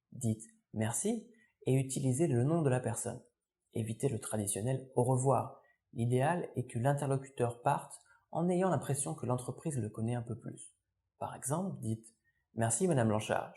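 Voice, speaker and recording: A man narrating a scripted language lesson as the teacher, in a formal, measured, instructional manner. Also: mid-range voice, dark tone.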